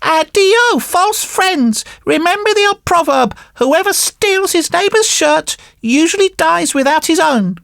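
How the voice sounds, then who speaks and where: A woman's voice: extremely high pitch, lively intonation, measured pace, gravelly, hardly any pauses, fairly bright tone, no echo, studio quality, pitched above the performer's own voice, full character voice. A woman voicing an earnest, polite wooden marionette boy in a storybook, speaking in formal, emphatic exclamations. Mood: scornful.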